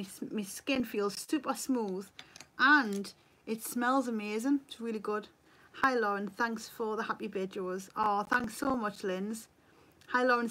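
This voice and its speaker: A woman's voice: on the high side, a bit bright. Woman, Geordie accent, thirties, a bit tired, chatty, bedroom webcam. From North East England. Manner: chatty, reads viewer comments aloud, laughs easily. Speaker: beauty vlogger. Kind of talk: casual livestream chat. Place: bedroom at home, indoors. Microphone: webcam at desk.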